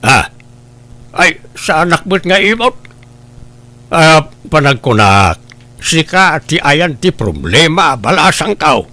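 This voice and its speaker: A man's voice: on the high side, big pitch swings, slow, pauses often, pushed and bright, full character voice. A man with a Filipino accent playing a flustered, defensive older father-in-law in a comedy drama. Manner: hesitant, defensive.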